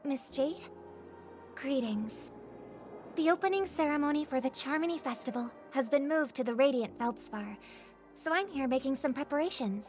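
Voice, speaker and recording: An actress playing a gracious, idealistic young celebrity in a video game, speaking in a polite, measured, earnest way. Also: female voice, high voice, lively intonation, darkish tone, faint music behind.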